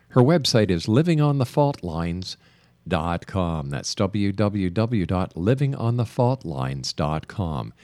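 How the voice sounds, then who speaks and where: Male radio host, lower-pitched, dry close sound, measured and emphatic interview delivery. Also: darkish tone, dry recording. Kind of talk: radio interview with broadcast announcements.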